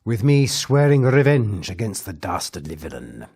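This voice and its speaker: A man's voice: lowish voice, very animated, normal speed, vocal fry, a little mellow, husky, exaggerated voice. A man playing an eccentric, whimsical time traveller, narrating an audio drama recap in an arch, mock-grand way with quaint turns of phrase. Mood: grave, dramatic.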